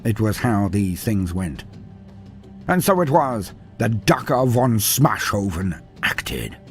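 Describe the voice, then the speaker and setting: A man's voice: on the low side, sing-song, takes it slowly. A man giving a dramatic, grandiose scripted narration in the manner of fantasy storytelling. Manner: theatrical, grandiose. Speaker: narrator of fantasy lore videos. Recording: background music under the voice. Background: music.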